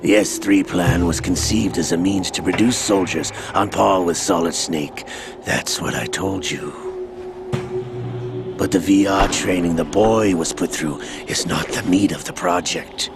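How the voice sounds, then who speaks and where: An actor playing a cold, scheming older male villain in a video game, calm, measured and mocking as he lays out a plot. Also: low voice. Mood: smug, coldly explaining. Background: game score music.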